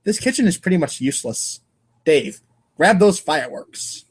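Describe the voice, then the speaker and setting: A man's voice: a little high, lively intonation, normal speed, breathy edge. A man reading comic narration aloud in a casual, irreverent way, stumbling over lines now and then. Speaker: podcast co-host and reader.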